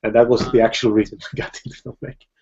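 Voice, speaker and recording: A man hosting a live video-call chat, talking in a halting way with many restarts and easy laughs. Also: lowish voice, level tone, vocal fry, a little mellow. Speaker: film director hosting a live video hangout. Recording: dull lo-fi video-call audio.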